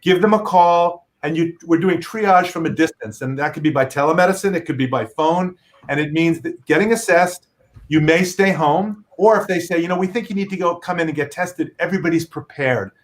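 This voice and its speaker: A man's voice: on the high side, fairly quick. A middle-aged man, a physician guest, explaining earnestly and patiently with examples on a video call.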